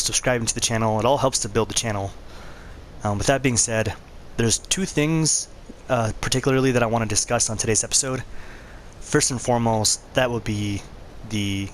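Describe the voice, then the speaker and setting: A man with a hoarse, worn-out voice hosting a casual gaming vlog, talking in a laid-back, conversational way. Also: medium pitch, a little hiss or hum. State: hoarse, losing his voice. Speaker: channel host.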